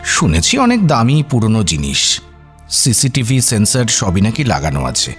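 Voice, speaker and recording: Animated, genial police officer. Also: male voice, on the low side, normal speed, soft background music. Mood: affable, conversational. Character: affable local police officer briefing a detective. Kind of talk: Bengali radio drama acting.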